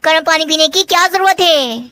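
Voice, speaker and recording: A woman's voice: extremely high pitch, fairly quick, bright, forceful tone, slight background noise. A woman voicing a scheming, falsely kind talking bird in a children's cartoon, with exaggerated delivery. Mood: sweetly persuasive. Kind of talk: Hindi children's cartoon dialogue.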